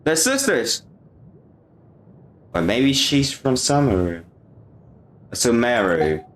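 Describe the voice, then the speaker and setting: A male streamer mutters casual commentary as he plays, with game music behind him. He talks slowly in short remarks to himself, his pitch moving around a lot. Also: sing-song, slight background noise. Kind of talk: casual live gameplay commentary.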